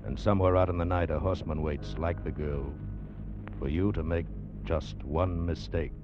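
An actor as an ominous narrator, delivering a suspenseful second-person teaser for a vintage radio adventure drama. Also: male voice, rumbling low, fairly even intonation. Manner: slow-building, suspenseful. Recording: dull vintage radio recording, music behind.

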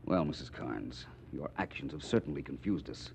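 An actor playing a suave, drily witty gentleman detective, polished and courteous, in 1940s radio style. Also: very deep voice, expressive, normal speed, vocal fry, full character voice. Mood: measured, probing. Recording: muffled, hissy 1940s radio recording.

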